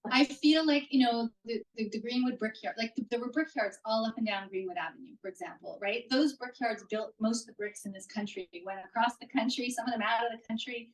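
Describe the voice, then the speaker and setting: A woman's voice: fairly high pitch, a little fast, hardly any pauses, rough recording. Rambling woman at a bookstore talk. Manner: rambling, warm, with restarts and fillers. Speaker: author answering audience questions. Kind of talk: casual live Q&A at a book reading. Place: bookstore event room. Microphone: handheld microphone.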